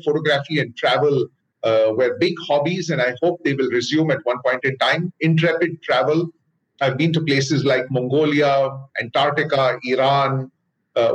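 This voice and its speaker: A middle-aged man with an Indian accent, a business leader answering interview questions in a measured way, often pausing with "uh". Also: mid-range voice, poor audio. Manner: thoughtful, with frequent "uh" pauses.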